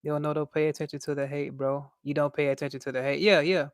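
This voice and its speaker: A man's voice: on the high side, flat delivery, fast, dry recording, clean studio sound. A young man with a light voice, chatting casually on a livestream and reading out chat in a laid-back, slangy way.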